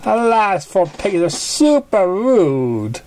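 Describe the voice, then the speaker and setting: A storybook reader voicing an excitable, earnest female pony who speaks in a halting, apologetic way. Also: medium pitch, a little hiss or hum, voice pushed up. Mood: flustered. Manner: meek, halting, then exclaiming.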